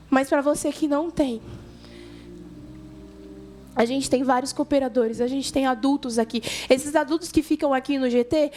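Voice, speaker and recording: A woman's voice: sits high, darkish tone. A woman with a Brazilian accent preaching informally to young people, telling stories in colloquial language and speaking directly to her listeners. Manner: colloquial, conversational, addresses listeners directly, acts out dialogue. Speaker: youth church preacher. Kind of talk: informal youth sermon with storytelling.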